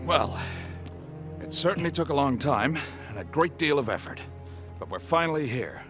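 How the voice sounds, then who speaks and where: An actor playing an excitable, learned and determined scientist mentor in an animated video game. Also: male voice, fairly high pitch, moderate pace, soft background music. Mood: composed, purposeful.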